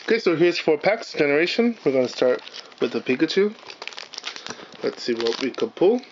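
A man with a hoarse, raspy voice, chatting casually as he opens trading card packs on his channel, with lots of fillers and apologies. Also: fairly high pitch, very animated, normal speed. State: hoarse, partly lost voice. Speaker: channel host, card unboxer. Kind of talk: casual unboxing vlog. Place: indoors at a table, camera on the cards. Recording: dull lo-fi home recording with faint hiss.

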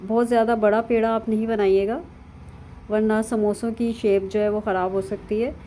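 A woman, calmly narrating a cooking lesson step by step over video of her hands at work. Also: mid-range voice, moderate pace, a little hiss or hum. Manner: calm, instructive, with frequent fillers. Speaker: cooking channel host. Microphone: voice-over over hands-only video.

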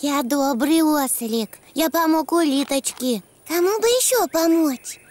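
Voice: sits high, animated, a little slow, a little hiss or hum. A performer voicing a kind, cheerful little donkey boy in a preschool cartoon, speaking simply and earnestly in a sing-song way. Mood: proud, happy.

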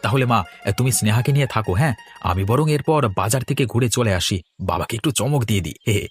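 A man's voice: on the low side, lively intonation, fairly quick, slightly rough. A man voicing an affectionate, easygoing grown son in a Bengali cartoon story, with a Bengali accent. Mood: cheerful. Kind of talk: Bengali animated story voice acting. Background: plucked-string music under the voice.

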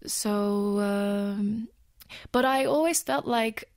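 A woman in her thirties from the Netherlands speaks as a guest in a radio studio, pausing often with "um"s as she thinks. Her voice sits in a middle range. The sound is close and dry, with no room echo. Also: mid-range voice, a little mellow. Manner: thoughtful, with many pauses and "um"s. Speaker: guest, musical actor. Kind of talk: radio interview. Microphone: studio microphone on a stand.

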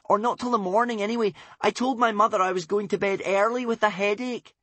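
A man's voice: steady tone, a little fast, no room sound. A man voicing a brave young warrior princess in an audiobook, anxious but plucky, urgent and breathless. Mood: breathless, trying calm. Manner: panting, urgent, shrill squeals and shouts.

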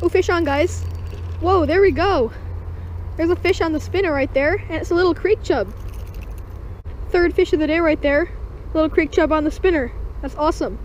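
A fishing vlogger with a high, bright-pitched voice calls out catches with upbeat excitement, talking straight to the viewers. The sound comes from an action camera worn on the body at a creek bank outdoors. The audio is dull and a bit muffled, with faint background noise. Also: normal speed, long pauses. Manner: upbeat, keeps calling the viewers guys. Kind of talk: excited first-person fishing commentary.

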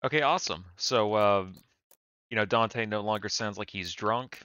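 A man's voice: on the low side, normal speed, pushed and bright, clear, resonant tone. A man talking informally through a casual how-to screen-capture video. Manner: informal, conversational. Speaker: tutorial video maker.

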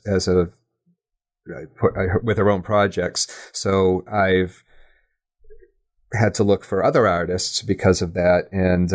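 A man speaking as a guest in a casual interview, halting and self-effacing as he thinks aloud. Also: sits low. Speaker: podcast guest, audio drama creator.

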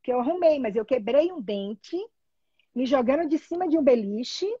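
Brazilian woman, middle-aged, high husky voice, casual storytelling, animated, video call. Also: moderate pace, no echo. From Salvador, Brazil. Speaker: guest, therapy trainee telling her experience. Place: small room at home, indoors. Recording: dull, lo-fi video call audio.

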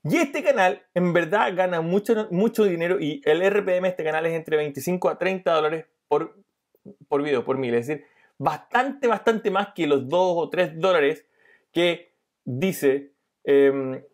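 Brief: middle-aged male online coach, slightly higher voice, explanatory tutorial delivery. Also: a little slow. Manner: explanatory, with fillers and restarts. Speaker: YouTube money-making coach. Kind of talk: screen-share tutorial.

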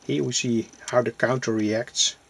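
A man with a husky, gravelly voice gives a hands-on technical explanation. He speaks very slowly, phrase by phrase, in a plain and halting way, like a hobbyist presenting his own work. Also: medium pitch, little pitch movement. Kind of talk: hands-on circuit demonstration, explanatory. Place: small cluttered indoor electronics workbench.

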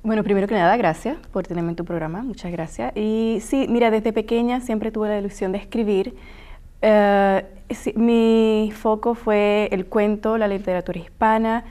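A woman's voice: medium pitch, fairly even intonation, moderate pace, warm tone. A woman, a guest author answering questions in a small TV studio interview, thoughtful and earnest. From Puerto Rico. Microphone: lapel mic.

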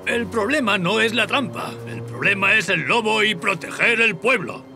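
Gravelly, high-pitched pompous hunter. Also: male voice, lively intonation, moderate pace, music in the background. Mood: self-righteous. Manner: blustering, argumentative. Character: pompous, greedy, stubborn village hunter, a middle-aged man. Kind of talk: animated series dub acting.

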